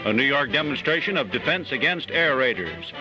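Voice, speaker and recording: A man's voice: medium pitch, animated, brisk. A man with an American accent reading formal scripted narration as a 1940s newsreel announcer. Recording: muffled 1940s newsreel soundtrack. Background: loud music.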